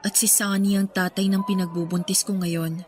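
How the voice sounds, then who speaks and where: A woman's voice: medium pitch, flat delivery, moderate pace, darkish tone, natural voice. A woman playing a reserved, self-deprecating young woman in a radio drama, hesitant and confiding. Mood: nervous, confessing. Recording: music behind the voice.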